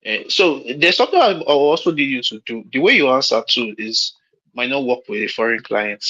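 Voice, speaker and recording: A man's voice: medium pitch, animated, a little fast, sharp tone, breathy edge. Frank Nigerian man giving career advice. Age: late 30s. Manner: frank, rambling, repeats himself with restarts. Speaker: mentor hosting a call. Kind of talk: casual advice on a call. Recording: lo-fi call audio.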